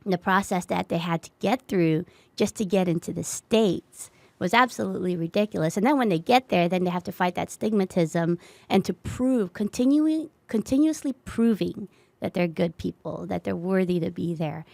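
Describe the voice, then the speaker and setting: A woman born in the Philippines, with Tagalog as her first language, reflects earnestly in an easy conversational way. Her voice is lowish and gravelly, and it is recorded dry and close. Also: lively intonation, moderate pace, darkish tone, no echo. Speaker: interviewer. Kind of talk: conversational personal story.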